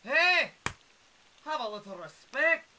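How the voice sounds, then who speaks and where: A man playing a tough gangster posing as a cop, barking orders gruffly in an old-time radio drama. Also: very high voice, very animated, slow, bright, forceful tone, slight background noise, full character voice. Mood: menacing.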